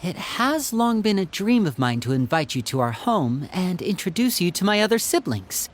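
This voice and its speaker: Lilting, polite young magician lad. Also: female voice, fairly low pitch, very animated, normal speed. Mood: courteous. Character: polite, charming young male stage magician. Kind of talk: video game character dialogue. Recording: faint music behind the voice.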